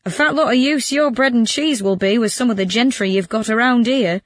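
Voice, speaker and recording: A woman's voice: a little high, a little fast, no room sound, clean studio sound, pitched above the performer's own voice, natural voice. A woman voicing a cunning male trickster in a storybook, goading and mock-doubting. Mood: scornful, scheming. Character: sly, mocking male prankster, egging on his victim.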